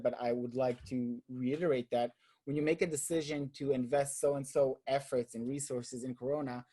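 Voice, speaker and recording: A man's voice: medium pitch, warm tone. A man from Israel with an Israeli accent, hosting a roundtable discussion in a thoughtful, hesitant way, repeating words as he searches for them. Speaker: roundtable host. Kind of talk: casual discussion.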